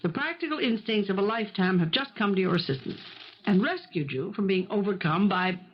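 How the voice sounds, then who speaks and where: An actress playing a practical, no-nonsense housekeeper in an old-time radio drama, speaking firmly and crisply, as if giving a lecture. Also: female voice, mid-range voice, expressive, a little fast. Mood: lecturing. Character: brisk, bossy, no-nonsense housekeeper, steady and practical. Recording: muffled 1970s radio broadcast.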